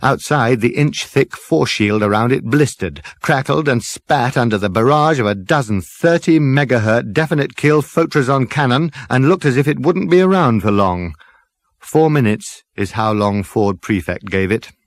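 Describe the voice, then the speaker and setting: A man's voice: medium pitch, normal speed, dry recording, studio quality. A man reading a comic science-fiction audiobook as a dramatic scripted narration.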